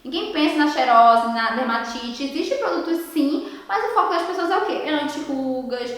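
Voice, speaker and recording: A young woman, telling her story at an online conference in an animated way, often checking in with the audience. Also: high voice, moderate pace. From Ceará, Brazil. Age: 20s. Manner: animated storytelling, frequent tag questions to the audience. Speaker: beauty therapist speaker at an online conference. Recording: very echoey room.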